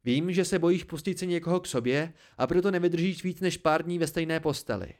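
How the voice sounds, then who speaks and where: A man's voice: medium pitch, lightly acted. A man voicing a hot-tempered, hurt and sarcastic young man in an audiobook story, barking cutting retorts. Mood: heated, accusing.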